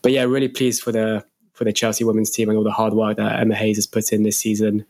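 A man's voice: fairly low pitch, monotone, fast, a little mellow. A man co-hosting a casual football podcast, sounding a bit nasal from a cold. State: stuffy nose from a cold. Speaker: podcast co-host.

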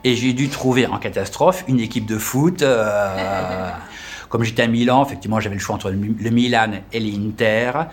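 A French man in his fifties, a tour guide answering interview questions casually, pausing often with fillers and restarting his phrases. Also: lowish voice. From France. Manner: hesitant, with many fillers and restarts.

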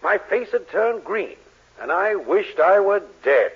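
An actor playing a fussy, scheming middle-aged husband with a pompous, dryly sarcastic manner in a 1940s radio sitcom. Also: male voice, high-pitched, big pitch swings, slow pace, husky, full character voice. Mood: wry complaining. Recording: dull, noisy 1940s radio recording.

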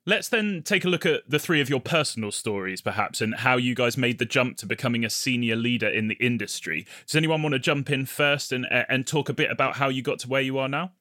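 A man's voice: mid-range voice, fairly quick, hardly any pauses, bright, forceful tone, no room sound, clean studio sound. A man moderating a panel, inviting the speakers to share their stories. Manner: inviting, prompting questions.

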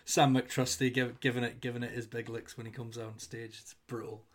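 Podcast host, kinda hesitant, fumbles for words mid question. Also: male voice, medium pitch, flat delivery, fairly quick. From Scotland. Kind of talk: casual podcast interviewing.

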